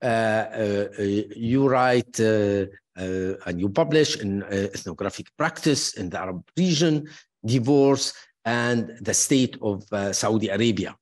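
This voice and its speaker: Halting man introducing academic speakers. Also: fairly low pitch. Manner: halting, many fillers and restarts; warmly praising, personal asides. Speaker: panel moderator introducing speakers.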